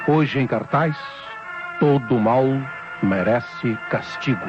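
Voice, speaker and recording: A man's voice: mid-range voice, takes it slowly. A Brazilian man announcing a radio drama in a formal, dramatic 1960s broadcast style. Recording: dull old radio recording, loud music.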